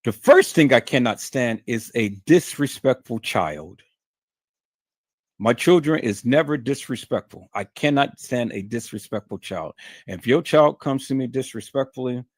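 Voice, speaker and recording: A man's voice: medium pitch, dry recording. A man hosting a live stream, talking straight to his viewers in an emphatic, preachy way and often repeating his words. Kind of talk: casual monologue to viewers.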